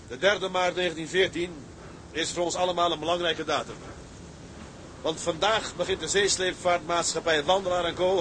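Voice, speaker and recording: An actor playing a determined tugboat skipper in a radio drama, speaking in an earnest, deliberate way. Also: male voice, sits high, fairly bright tone, poor audio. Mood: solemn, rallying. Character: determined Dutch tugboat skipper, patient but embittered by rivals. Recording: clear ambient noise behind.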